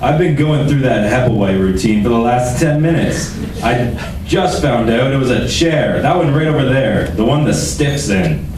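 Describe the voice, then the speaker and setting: A man's voice: medium pitch, lively intonation, normal speed, natural voice. A man playing a grumpy, weary, sardonic police lieutenant in an old-time radio detective drama. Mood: sarcastic. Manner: grumbling, sardonic. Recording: roomy, distant microphone, noticeable background noise.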